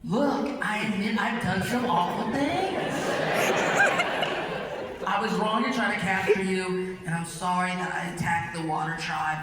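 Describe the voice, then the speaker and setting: Earnest, frustrated teen ex-villain for animation; fumbling and halting, heard far off in a big hall. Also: male voice, high voice, over a noisy crowd. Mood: pleading. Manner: halting, fumbling, self-correcting. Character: awkward, earnest, frustrated teenage boy, a reformed villain. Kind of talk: live table read of animated series. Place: large reverberant convention hall. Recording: loud noise, distant echoey hall.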